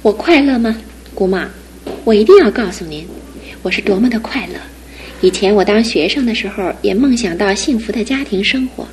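An actress in her twenties playing a cheerful, resilient young wife in a radio drama, soft and earnest. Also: mid-range voice, animated, brisk, a little hiss or hum, close to an everyday voice, low-quality recording.

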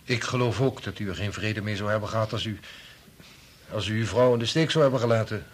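An actor playing a sober, probing research colleague who questions in a measured way, in a radio play. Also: male voice, fairly low pitch, husky. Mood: measured, serious. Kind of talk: Dutch radio play acting.

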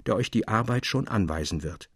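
A man's voice: fairly low pitch, animated, slightly rough, dry recording. Male reader as stern kindly mine master, middle-aged, formal old-fashioned wording, storybook character, clean close sound. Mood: solemn, earnest. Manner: grave, measured, formal old-fashioned speech.

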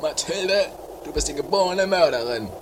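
A man playing an excitable, scheming squabbler with a theatrical, pompous manner in an amateur comedy radio play. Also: a little high, moderate pace, natural voice. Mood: accusing. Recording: noisy 1980s homemade radio play recording.

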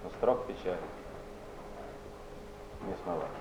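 An actor playing a melancholy poet, reciting verse in a solemn, measured theatrical declamation. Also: male voice, deep voice, lively intonation. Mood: brooding, anguished. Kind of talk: theatrical Russian poetry recitation. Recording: muffled noisy 1970s stage recording, echoey.

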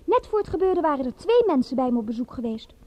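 A woman's voice: high voice, very animated, fast, dull audio. A woman playing a naive, eager young girl in a radio drama, garrulous and prone to wander off the point. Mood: chatty, eager. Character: chatty, naive teenage French concierge's daughter.

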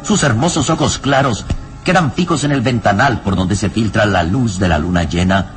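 A man narrating a radio serial in a solemn, ominous, dramatic style with deliberate phrasing. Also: on the low side, faint music behind.

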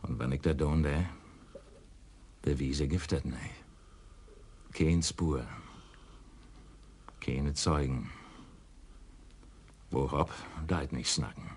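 An actor playing a troubled rural police adjutant who speaks in a radio crime drama. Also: male voice, a bass voice, slow, pauses often, a little mellow, slightly rough, heavily acted.

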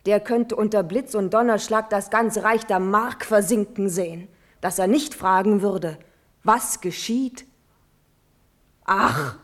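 An actress plays a proud young princess in a classical verse radio play. She speaks very slowly in solemn, declamatory phrases, with a passionate, eloquent delivery at a middling pitch. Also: lightly acted. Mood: anguished, pleading. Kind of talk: classical German verse radio drama acting.

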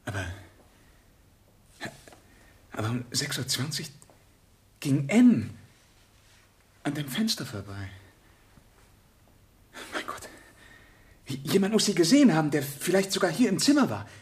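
A man's voice: fairly high pitch, very animated, unhurried, long pauses. A man acting in a radio drama as an anxious, polite suspect, shaken and evasive under questioning. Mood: agitated. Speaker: actor playing a questioned suspect. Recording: distant mic, faint noise.

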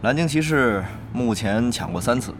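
An actor playing an earnest, easily flustered guild leader in an online game, stammering and exasperated, in a Chinese radio drama. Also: male voice, mid-range voice, lively intonation, moderate pace, background noise, lightly acted. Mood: flustered, defensive.

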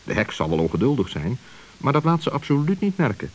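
A man's voice: medium pitch, big pitch swings, fairly quick, rough recording. Levendig vertellende man. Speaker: narrator. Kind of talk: scripted storybook narration for children.

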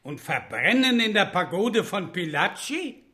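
Slow, forceful, stern colonial judge. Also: male voice, sits high, very animated, exaggerated voice. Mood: stern, officious. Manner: formal, officious, deliberate. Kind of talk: German radio play acting.

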